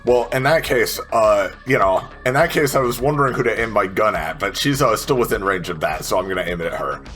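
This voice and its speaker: Low-voiced male tabletop player, faint music. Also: expressive, brisk, a bit bright. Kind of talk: casual game talk, describing own character's actions.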